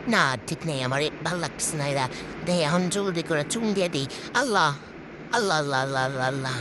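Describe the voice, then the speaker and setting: A woman's voice: on the low side, background noise. A woman with a Bangladeshi accent giving casual, exclamatory gaming commentary through a voice changer, talking to herself and repeating words. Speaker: gaming video host.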